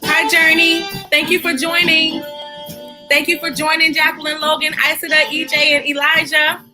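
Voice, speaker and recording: A woman hosting a children's storybook read-aloud, expressive and encouraging, speaking directly to young listeners like a teacher. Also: high-pitched, moderate pace, music in the background.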